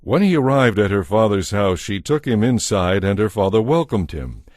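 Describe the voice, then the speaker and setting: A man narrating a dramatized Bible as a scripted story, in a measured storytelling manner. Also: lowish voice, very animated, a little mellow, no room sound, studio quality.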